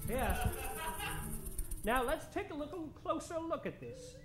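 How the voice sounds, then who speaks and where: A man's voice: very high-pitched, big pitch swings, unhurried, full character voice. A man playing a learned, mysterious adventurer-scientist and mentor in an old-time radio serial, musing and instructing theatrically. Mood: eager, excited. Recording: echoey live stage, music behind.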